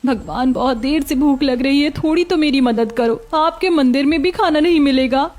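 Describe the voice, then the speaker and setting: A woman voicing a hungry young beggar woman in a cartoon story, plaintive and quick to retort. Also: sits high, darkish tone, close to an everyday voice. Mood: pleading. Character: hungry young beggar woman, pleading yet sharp-tongued. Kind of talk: Hindi cartoon story acting.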